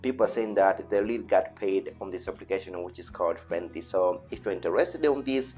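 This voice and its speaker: A man's voice: sits low, a little fast, a little mellow. A man with a Tanzanian accent, a native Swahili speaker, presenting an informal tutorial in an earnest, repetitive, persuasive way. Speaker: online video host. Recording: dull lo-fi voice recording with music. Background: background music.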